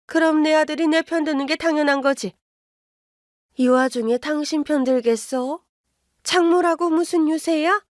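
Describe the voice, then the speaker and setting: Woman playing a shrill older mother-in-law, high and piercing, pushy, indignant, dry close sound. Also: moderate pace, dry recording, close to an everyday voice. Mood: scornful. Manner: indignant, accusing, high-pitched scolding.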